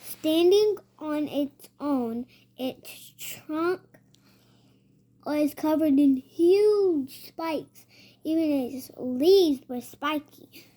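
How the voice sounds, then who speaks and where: A child reading a storybook aloud haltingly, sounding out words and repeating them. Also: high-pitched, warm tone. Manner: hesitant, sounding out words, repeating after prompts. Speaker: young reader.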